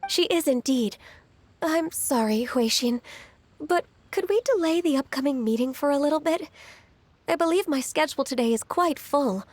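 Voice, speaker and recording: Game casting: young female immortal-blooded secretary, high-pitched, gentle, earnest and respectful. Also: animated, normal speed. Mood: polite. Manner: polite, earnest, deferential. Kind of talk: video game voice acting.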